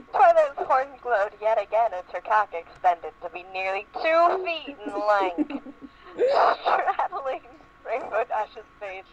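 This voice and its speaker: A woman's voice: high-pitched, huge pitch swings, slow. Woman story reader on a dull, lo-fi mic. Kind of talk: comedic dramatic reading of fan fiction. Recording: lo-fi radio-like sound, faint noise.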